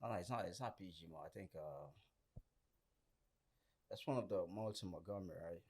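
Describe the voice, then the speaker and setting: A man's voice: low voice, fairly quick, darkish tone, slightly rough. A young man in a casual group chat, telling stories in slangy speech and often calling his friends bro. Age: young adult. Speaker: podcast participant.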